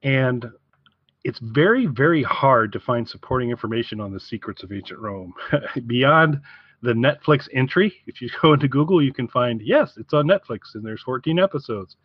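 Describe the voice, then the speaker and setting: A man co-hosting a podcast in casual conversation, speaking haltingly and repeating words as he goes. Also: mid-range voice, animated, slightly rough, no echo, dull audio. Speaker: podcast co-host.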